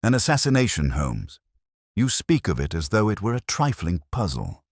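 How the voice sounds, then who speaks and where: A man voicing an earnest doctor companion, formal and gently indignant, in a storybook reading. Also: lowish voice, wildly swooping pitch, moderate pace, husky, no echo, clean studio sound, pitched above the performer's own voice, heavily acted. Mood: shocked reproach.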